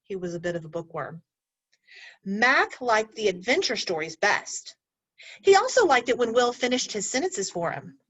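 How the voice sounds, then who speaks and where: A woman's voice: on the high side, normal speed, breathy edge. A woman teacher reading a picture book aloud to children, stopping often to ask them questions. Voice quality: animated storyteller, asks children questions. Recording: dull lo-fi recording.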